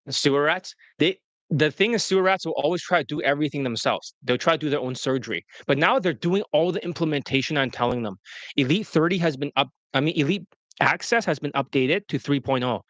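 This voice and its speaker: Blunt swearing guy coaching on dating, kinda high voice, dead dry sound. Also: fairly bright tone, no room sound. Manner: brash, profane, mocks students in high-pitched quoted whines. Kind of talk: blunt promotional coaching talk.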